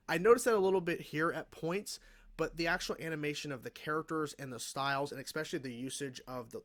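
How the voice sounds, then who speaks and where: A man with a mid-pitched voice talks casually as the host of a reaction video. He rambles through his review, correcting himself and hedging as he works out what he thinks. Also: a bit bright. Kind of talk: casual review commentary on a cartoon episode.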